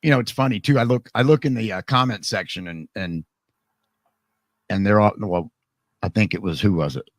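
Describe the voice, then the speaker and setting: A man with a hoarse, tired voice hosts a live talk program. His tone sits low, and he rambles and jokes through restarts. The sound is dry and close, with no room behind him. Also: normal speed, long pauses, dry recording. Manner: chatty, joking, rambling with restarts. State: hoarse, voice worn out. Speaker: radio program host. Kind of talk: casual live talk show chat.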